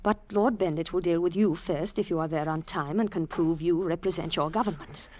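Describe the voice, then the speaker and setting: An actress playing an earnest, wary woman living under threat, speaking deliberately in 1940s radio drama style. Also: fairly low pitch, a little mellow, natural voice. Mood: earnest warning.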